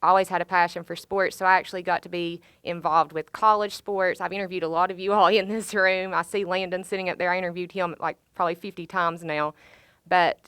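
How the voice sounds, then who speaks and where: A woman's voice: lowish voice, fairly even intonation, brisk, a bit bright, clear, resonant tone, dry recording, clean studio sound. A woman, talking on a panel about her broadcasting career in an upbeat, conversational way. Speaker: alumni panelist, sports broadcaster. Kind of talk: panel talk.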